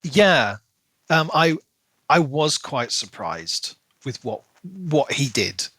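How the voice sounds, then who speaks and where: A man's voice: medium pitch, lively intonation, slow pace, bright, forceful tone, dry recording. A man co-hosting a podcast, chatting casually and giving his opinions about books, sometimes acting out imagined quotes. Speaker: podcast co-host. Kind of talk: casual book discussion chat.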